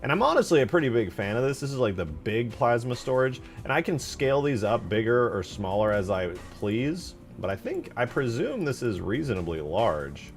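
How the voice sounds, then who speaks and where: A man's voice: mid-range voice, big pitch swings, normal speed, hardly any pauses, faint music behind. A man giving casual, off-the-cuff commentary on a game mod, musing aloud in informal wording. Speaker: video creator presenting game mods.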